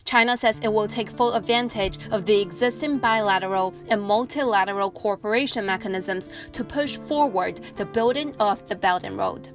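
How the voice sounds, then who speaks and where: Woman news reporter, music beneath. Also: medium pitch. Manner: clear, measured news delivery. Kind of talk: news narration and street interviews. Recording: voice-over with music underneath.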